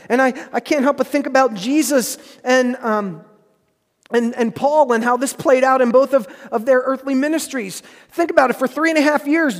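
High-voiced man preaching in a reverberant hall. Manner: earnest, emphatic, repeats phrases for stress. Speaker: pastor. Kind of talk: sermon.

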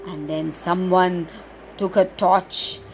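A woman hosting a children's storytime podcast, reading a picture book aloud in an animated, sing-song way and adding playful sound effects. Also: lowish voice, slow, background noise.

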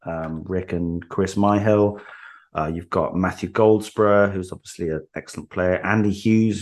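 Lower-pitched male guest from Wales, loose rambling chat, jokey asides. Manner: chatty, rambling, many ums and restarts, jokey. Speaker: podcast guest. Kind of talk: casual chat.

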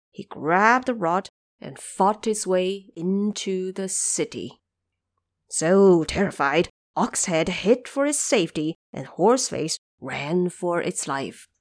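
A woman narrates a fantasy story in a slow, measured way. Her pitch moves expressively through each phrase, and the recording is close and dry. She delivers it as scripted storytelling with explanatory asides. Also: no echo. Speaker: narrator of an audio drama series.

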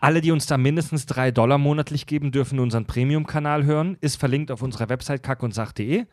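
A man's voice: medium pitch, fast, no echo. A man co-hosting a casual podcast, reading listener mail and making announcements with plenty of filler sounds. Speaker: podcast co-host.

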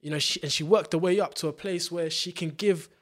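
A young man talks in a casual chat. His voice sits fairly high for a man. He is earnest and reflective, and he often trails off and restarts. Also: fairly even intonation, brisk. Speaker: podcast guest.

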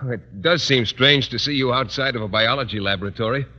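A man's voice: medium pitch, a little fast, sharp tone, lightly acted. An American actor playing a bashful, earnest male biology teacher in 1950s radio comedy, hesitant and easily flustered. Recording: muffled, noisy old radio recording.

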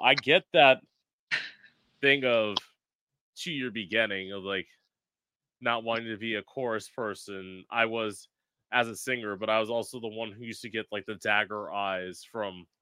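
A man's voice: lowish voice, measured pace, sharp tone. A man hosting an interview, talking frankly and brassily and swearing freely in casual chat. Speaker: interview host.

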